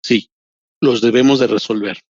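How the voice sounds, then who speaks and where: A man, a human rights lawyer and professor whose first language is not Spanish, answering interview questions in slow, deliberate speech with many restarts. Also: mid-range voice, steady tone, darkish tone, slightly rough, dry recording, lo-fi sound.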